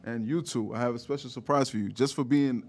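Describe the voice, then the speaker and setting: A man in his thirties chats as a podcast host. His delivery is casual and slangy, full of conversational check-ins, in a mid-pitched voice. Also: brisk, darkish tone. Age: 30s. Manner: repeats "you know what I mean" often, slangy.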